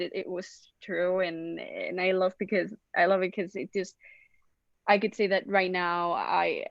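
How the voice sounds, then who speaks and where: A woman's voice: lowish voice, gravelly, a bit bright, dry recording. A young woman from Spain with a Spanish accent, effusively closing a student event on a video call, with many restarts. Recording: dull lo-fi call audio.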